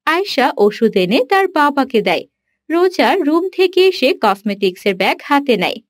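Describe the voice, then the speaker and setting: A woman's voice: high voice, sing-song, normal speed, dry recording, clean studio sound. A woman giving scripted narration for a cartoon story.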